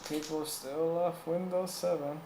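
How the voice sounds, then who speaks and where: Slow, easygoing man. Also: on the high side, fairly even intonation, reverberant, background noise. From Puerto Rico. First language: Spanish. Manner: loose, thinks aloud, reads and answers chat. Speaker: tech streamer. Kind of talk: casual live-stream talk.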